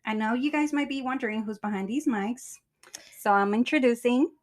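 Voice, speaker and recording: A woman in her thirties from Mexico, co-hosting a podcast in a relaxed, wry way. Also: on the high side, fairly quick, over loud music. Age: 30s. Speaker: podcast co-host. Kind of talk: casual chat.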